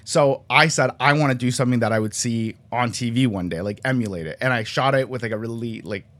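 A man in his twenties from Canada, a guest on a podcast, talking in a quick, chatty way with lots of fillers. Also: medium pitch, fairly bright tone. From Canada, raised near Toronto; born in Colombia. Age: 20s. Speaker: podcast guest, sports cinematographer. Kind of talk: casual interview chat.

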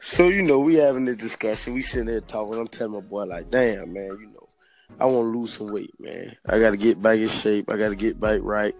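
A man in his fifties co-hosting a podcast, hoarse from a cold, laid-back and quick to laugh. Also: lowish voice, a little mellow. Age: 50s. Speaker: podcast co-host. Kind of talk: casual podcast banter.

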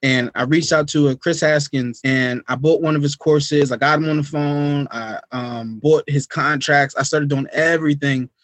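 An American man from New Jersey speaks as an interview guest, with a voice pitched a little high. He tells his story informally, with slang and frequent check-in phrases. The sound is very dry, with no room echo. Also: fairly even intonation, moderate pace, few pauses, fairly bright tone. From United States, New Jersey. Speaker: podcast guest, real estate investor.

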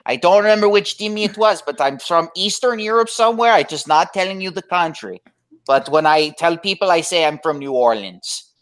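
A young American woman interviewing her guest in a lively, rambling way, full of restarts. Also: fairly low pitch, brisk. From United States. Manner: animated, rambling, many restarts. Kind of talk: casual interview chat.

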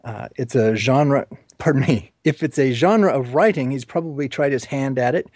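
A man hosting an informal interview show, speaking haltingly and repeating words as he goes. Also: medium pitch, a little mellow, slightly rough, no room sound, studio quality.

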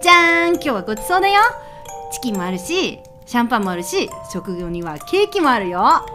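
A doting, cheerful woman acted by a podcaster in a festive radio drama. Her voice is high and swings freely as she exclaims and coaxes, with faint music behind her. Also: sing-song, fairly quick. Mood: festive, eager. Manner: bright festive exclamations, coaxing. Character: cheerful doting woman hosting Christmas dinner for partner.

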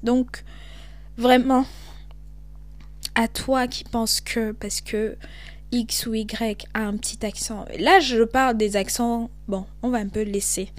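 A young woman from Cameroon with a Cameroonian French accent hosts a podcast, talking in an animated, emphatic way. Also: fairly high pitch. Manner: animated, emphatic, switches into English. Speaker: podcast host. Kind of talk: casual monologue.

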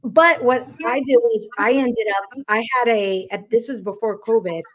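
A woman hosting a podcast interview, talking quickly in a chatty way with many restarts. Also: a little high, poor audio. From New York. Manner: fast, chatty, frequent restarts and fillers. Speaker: podcast host. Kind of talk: casual interview chat.

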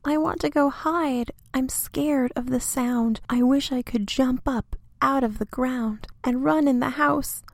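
Small scared young tree, high pleading voice, children's storybook, a woman acting it. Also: lively intonation, takes it slowly, darkish tone, no room sound, heavily acted. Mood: frightened.

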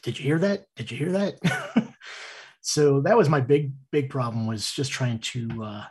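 A soft-spoken man, one of the players, chatting casually over a headset. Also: medium pitch, moderate pace, breathy edge. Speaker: player on the stream. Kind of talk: casual stream chat.

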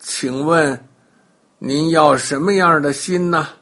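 A sly trickster disguised as a humble monk, voiced by a male storyteller, speaking low, slow and mock-pious. The voice has a husky grain. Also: sharp tone, full character voice.

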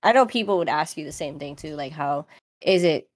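A chatty woman from Hawaii speaks with a somewhat low voice, filling her talk with "like" and restarting her questions. She chats casually as a podcast guest. The sound is close and dry. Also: animated, fairly quick, no echo. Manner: chatty, lots of "like", laughs often.

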